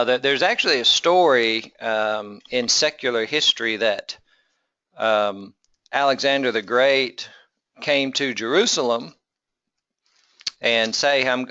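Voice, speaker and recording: A man's voice: mid-range voice, pushed and bright, clean tone, no room sound, muffled recording. A man teaching a class in an informal, conversational lecture style, explaining things and posing rhetorical questions. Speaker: teacher of a Bible survey class.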